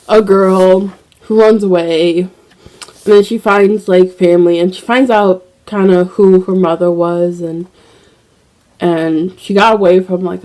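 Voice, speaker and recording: A young woman talks at an unhurried, drawn-out pace, rambling through her thoughts with plenty of fillers and restarts. She sounds a little stuffy from a cold and coughs now and then. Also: mid-range voice, mellow, rough recording. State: slightly congested with a cold, occasional cough. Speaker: book vlogger. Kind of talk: casual chat.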